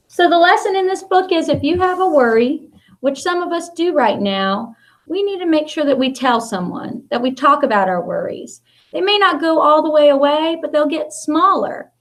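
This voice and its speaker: High, very animated American woman reading to children. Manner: simple, deliberately expressive phrasing addressed to children. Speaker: school principal reading to pupils. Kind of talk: picture book read-aloud for children.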